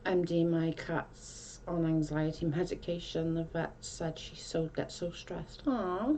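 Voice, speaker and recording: A woman's voice: low-pitched, measured pace, dark tone, slight background noise. A woman from South Wales with a Welsh accent, chatting casually on a livestream and reading out comments in a rambling way with many restarts.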